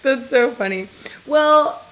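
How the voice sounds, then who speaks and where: A woman's voice: sits high, a bit bright, low-quality recording. Upbeat woman hosting an interview. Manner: upbeat, laughs often, quick reactions. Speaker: interview show host. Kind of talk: casual interview chat.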